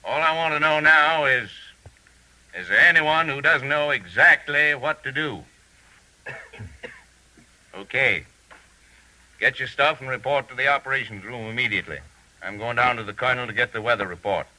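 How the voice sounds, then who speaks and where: Commanding wartime major, very forceful and sharp, terse orders, 1940s radio play. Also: male voice, medium pitch, moderate pace, long pauses, rough recording. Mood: stern, urgent. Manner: terse, authoritative. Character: gruff, commanding air force major briefing his men.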